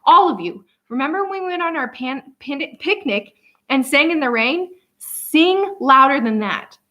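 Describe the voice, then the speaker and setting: A woman's voice: mid-range voice, moderate pace, dull audio, natural voice. Woman playing a fussy old professor. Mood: rousing. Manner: fussy, emphatic, often whispering.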